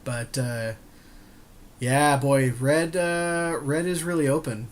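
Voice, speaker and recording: A man co-hosting a podcast with casual banter and game commentary, jokey and quick to agree. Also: a little high, a little hiss or hum. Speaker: podcast co-host.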